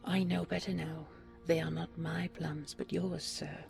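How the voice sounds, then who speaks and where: A woman's voice: deep voice, little pitch movement, measured pace, gravelly, a little mellow, soft background music, exaggerated voice. A woman voicing a humble, pure-hearted young human in a storybook tale, earnest, polite and pleading.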